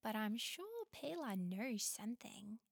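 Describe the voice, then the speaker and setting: A woman voicing a gentle, thoughtful female bird who speaks in a soft, hushed whisper. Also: on the high side, big pitch swings, measured pace, gravelly, breathy edge, dry recording, studio quality, exaggerated voice.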